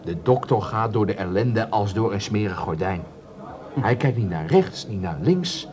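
A man acting in a radio play as a down-at-heel small-time crook, an eager, deferential follower who gets excitable. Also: fairly low pitch, normal speed, a crowd in the background, close to an everyday voice. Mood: admiring, defensive.

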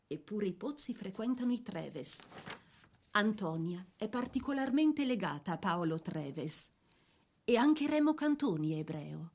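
A woman narrating a scripted biography for a radio drama in a measured, literary storytelling manner. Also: mid-range voice, very animated, a little mellow.

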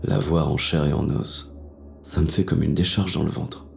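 An actor playing a hard-boiled, bitter police inspector who narrates a thriller in terse, intense first person. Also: male voice, a bass voice, flat delivery, normal speed, gravelly, a little mellow, soft background music, heavily acted. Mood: tense, wary. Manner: gritty, terse, intense delivery.

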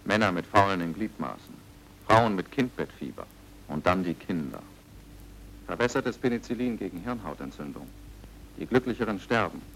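An actor playing a stern, commanding British military police major in a German radio crime drama, with clipped, authoritative delivery. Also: male voice, low-pitched, lively intonation, moderate pace, a bit bright, a little hiss or hum, rough recording. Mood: grave, bitter.

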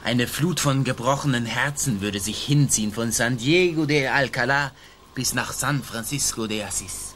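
Guy doing a loudmouth braggart soldier in a radio drama, forceful and theatrical, bit of background noise. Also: medium pitch, moderate pace, lightly acted. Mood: admiring, declamatory. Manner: swaggering, declamatory, bombastic. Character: boastful, blustering Spanish colonial army sergeant. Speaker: actor in a radio play. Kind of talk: theatrical German radio play acting.

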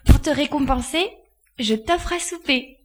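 A woman's voice: high-pitched, expressive, measured pace. A woman playing a helpful, plain-spoken young girl in an audio drama. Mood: grateful. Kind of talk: French audio drama acting.

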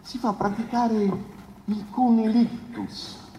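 An actor playing a pompous, condescending defence lawyer, declaiming a florid theatrical closing speech with dramatic pauses and rhetorical questions. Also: male voice, extremely high pitch, level tone, mellow, slight background noise. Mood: grandiloquent, emphatic. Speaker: actor in a stage adaptation. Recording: echoey hall with long reverb.

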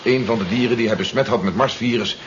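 A man's voice: fairly low pitch, quick pace, natural voice. Firm, commanding resistance leader, played by a man, muffled old recording. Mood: grave, matter-of-fact. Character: resolute, commanding male leader of a resistance war. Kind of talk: 1970s radio drama acting. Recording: muffled 1970s radio recording, noisy.

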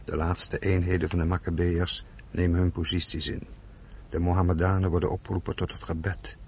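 A man with a gravelly voice, delivering terse scripted narration for a radio drama. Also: low-pitched, level tone, brisk, darkish tone, slight background noise.

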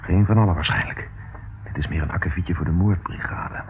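An actor playing a shrewd, decisive detective who calmly takes command in a radio drama. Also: male voice, sits low, brisk, natural voice. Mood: tense, hushed. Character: shrewd, cool-headed male detective taking command in danger. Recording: old lo-fi radio recording, faint noise.

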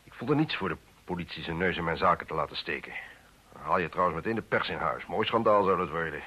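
A man's voice: low voice, lively intonation, fast, sharp tone, husky, heavily acted. An actor playing a proud, indignant client who guards his reputation in an old radio play. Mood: gruff, defensive. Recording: dull, muffled old radio recording.

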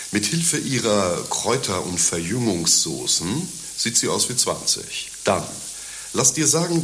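A man's voice: fairly low pitch, bright, forceful tone, slight background noise. A man playing a worldly, sly confidant in a German radio play, declaiming with teasing emphasis. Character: worldly, sly male schemer, cheeky confidant to a friend.

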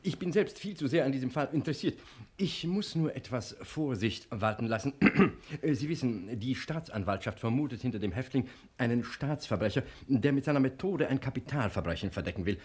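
An actor playing a jovial, fussy, self-important male prison director who repeats himself and clears his throat, in a 1960s German radio play. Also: medium pitch, animated, brisk, darkish tone, lightly acted. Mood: confiding, self-satisfied.

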